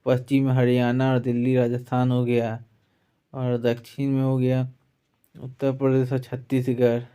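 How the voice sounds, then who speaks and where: A man's voice: medium pitch, a little mellow, smooth, lo-fi sound. A man teaching an online exam-prep lesson, reading out facts point by point with a repeated tag after listed items. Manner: repetitive verbal tag after each listed item.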